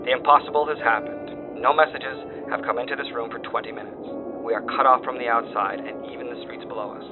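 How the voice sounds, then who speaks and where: A man's voice: mid-range voice, phone-quality sound. Phone-thin radio voice of a doomed wireman, acted by a man. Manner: solemn, theatrical, formal phrasing. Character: doomed male wire operator reporting horrors, terrified yet compelled. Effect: radio filter. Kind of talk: dramatic horror story dispatch reading. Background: music.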